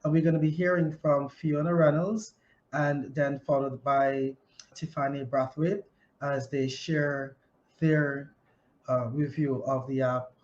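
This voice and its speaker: Higher-pitched Guyanese man presenting. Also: flat delivery, slow pace, highs cut off. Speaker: show presenter, business coach. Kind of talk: semi-formal program update to camera.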